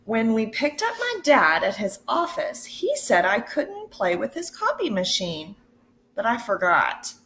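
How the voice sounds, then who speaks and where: A woman teacher reading a picture book aloud to children in an expressive, deliberate way, pausing to put questions to her listeners. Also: on the high side, slight background noise. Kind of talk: storybook read-aloud.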